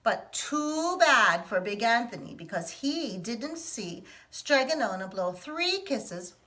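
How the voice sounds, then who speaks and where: Bright-voiced woman reading a picture book. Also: a little high, takes it slowly, poor audio. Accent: put-on Italian. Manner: lively, expressive storytelling.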